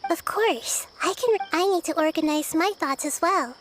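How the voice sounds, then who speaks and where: A woman voicing a small, wise, composed young girl in a video game, calmly explaining in measured phrases. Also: very high-pitched, background noise. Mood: calm, reassuring. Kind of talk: scripted video game dialogue. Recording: game music behind the voice.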